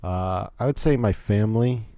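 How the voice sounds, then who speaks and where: A young American man with a low, deeply mellow voice, chatting his way through a solo podcast story. He rambles and cuts himself off with asides. The sound is dry and close, with no room around it. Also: moderate pace, no echo. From Virginia, United States. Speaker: podcast host.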